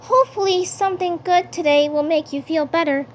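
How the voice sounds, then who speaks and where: Casting: very high, sweet, caring little superhero girl adult woman performer, tender cartoon delivery, slightly noisy amateur recording. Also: fairly quick. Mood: concerned, tender.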